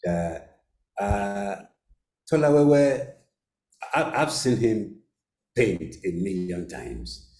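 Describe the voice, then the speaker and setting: Slow, deep man in an echoey room. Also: big pitch swings, a little mellow. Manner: halting, repeats words. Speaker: author on a panel. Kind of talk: academic panel answer.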